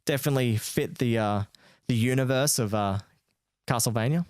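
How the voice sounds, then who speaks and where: A man's voice: mid-range voice, normal speed, a little mellow, no room sound, studio quality. An Australian man co-hosting a podcast, chatting about games in a laid-back, slangy way. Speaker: podcast co-host. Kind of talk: casual gaming chat.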